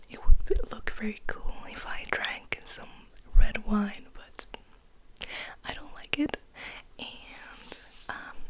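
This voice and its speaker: A woman whispering ASMR as host, rambling softly and intimately to the listener, sometimes slipping between two languages. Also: medium pitch, rough recording. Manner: slow, intimate whisper, addressing the listener affectionately; switches between English and French. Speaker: ASMR host and poetry reader.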